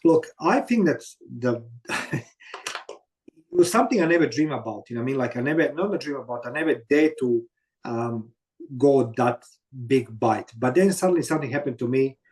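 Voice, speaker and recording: A man in his fifties from Croatia with a Croatian accent, telling his story as an interview guest in halting non-native English full of fillers. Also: mid-range voice, expressive, darkish tone, low-quality recording. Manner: halting, many fillers and "you know what I mean".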